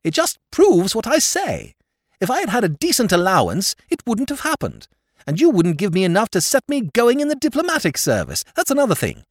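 A man voicing a petulant young aristocrat who rants in shrill, old-fashioned upper-class slang. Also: wildly swooping pitch, fairly quick, dry recording, clean studio sound, exaggerated voice. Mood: ranting.

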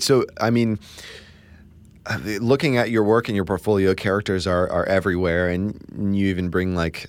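A man's voice: sits low, dry recording. A man hosting a casual interview, asking long rambling questions full of "like" and "you know". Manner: rambling, chatty questions with many "like"s.

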